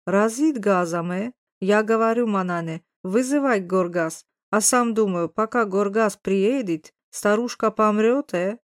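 A woman's voice: medium pitch, unhurried, hardly any pauses, dry recording, clean studio sound, lightly acted. A woman narrator voicing a burly, proud and commanding neighbour man with a guttural Caucasian accent, in blunt short phrases. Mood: boastful, matter-of-fact. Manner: punctuates speech with interjections, blunt short phrases.

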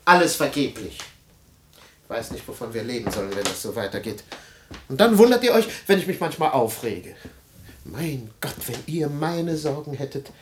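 A man's voice: mid-range voice, very animated, normal speed, a little hiss or hum, close to an everyday voice. An actor playing a vain, self-pitying middle-aged family man in a radio play, swinging between grumbling and pathos. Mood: complaining.

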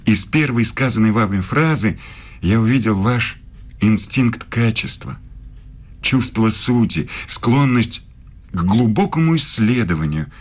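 Deep, husky, ardent professor lover. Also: male voice, lively intonation. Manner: ardent, deliberate. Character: ardent, commanding male philosophy professor, a secret lover. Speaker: actor in a radio play. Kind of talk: romantic radio drama monologue. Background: music.

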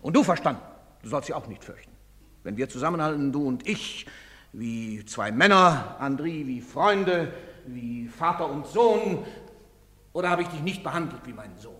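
An actor playing a gruff, bitter, protective middle-aged father, speaking emphatically in a theatrical stage style. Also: fairly high pitch, lively intonation, normal speed, a bit bright. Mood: urgent, earnest. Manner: emphatic, brusque. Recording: reverberant theatre stage recording.

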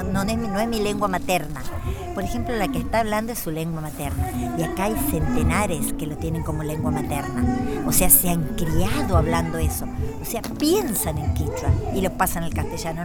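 A woman speaking thoughtfully as an interview guest, searching for her words with frequent fillers. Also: fairly low pitch. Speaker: interviewee, quichua scholar. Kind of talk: reflective conversational interview. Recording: loud music under the voice.

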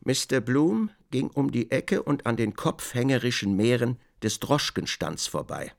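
An actor playing a literary storyteller, narrating a radio drama in a creaky voice. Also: male voice, medium pitch, big pitch swings, unhurried. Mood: measured storytelling. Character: literary storyteller, also voicing the protagonist's brief remarks.

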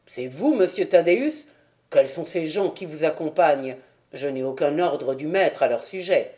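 A woman voicing a burly, stubborn male gatekeeper and former boxer with a gruff, blunt delivery in an audiobook story. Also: deep voice, expressive, measured pace, mellow. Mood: suspicious, firm. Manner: firm, blunt.

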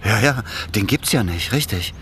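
Actor as a very deep-voiced, wary accomplice. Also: male voice, animated, moderate pace, background noise, natural voice. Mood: doubtful. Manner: hesitant, blunt, questioning. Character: wary, doubting male accomplice, simple and cautious. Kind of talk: naturalistic German radio play dialogue.

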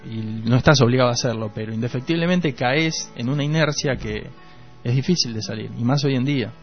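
Male radio contributor, Rioplatense accent, medium pitch, conversational delivery, lo-fi sound. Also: a little fast. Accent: Rioplatense Argentine Spanish. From Argentina. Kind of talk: casual conversational radio discussion. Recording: dull lo-fi radio recording, music behind. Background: music.

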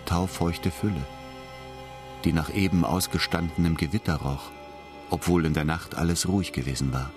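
A man narrating a radio drama in a scripted, literary storytelling style. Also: deep voice. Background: music.

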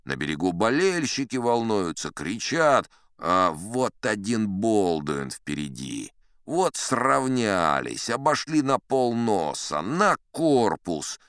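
An actor playing a boastful old sea captain, spinning tall tales in a self-satisfied, chatty storybook narration. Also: male voice, lowish voice, big pitch swings, slow, a bit bright, clear, resonant tone, dry recording, studio quality, exaggerated voice. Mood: animated boasting. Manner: self-satisfied, conversational raconteur. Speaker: audiobook narrator in character. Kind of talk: theatrical first-person storybook narration.

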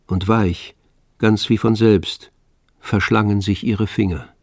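Lowish male radio-play narrator. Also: steady tone, slow pace, darkish tone, no echo, clean studio sound.

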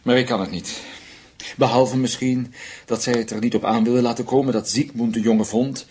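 An actor playing a shrewd German intelligence official in a radio drama, speaking formally and deliberately. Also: male voice, mid-range voice, steady tone, a little mellow, breathy edge, natural voice. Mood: measured, explanatory.

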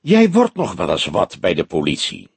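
A man narrating plays a grizzled old police detective for an audiobook. The voice is husky and swings freely in pitch, with a shrewd, mocking, deliberate delivery. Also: wildly swooping pitch, no room sound, full character voice. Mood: wry, mocking.

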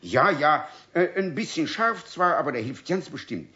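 A man playing a good-hearted, easily flustered middle-aged uncle in a children's radio play, speaking with a husky voice. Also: on the high side, moderate pace, heavily acted. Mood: kindly. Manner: animated, exasperated yet kindly. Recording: dull lo-fi old radio recording.

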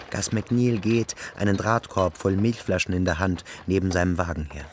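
A man's voice: low-pitched, slight background noise, close to an everyday voice. A man narrating a literary radio play in scripted prose, acting as its storyteller. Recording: music behind the narration. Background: music.

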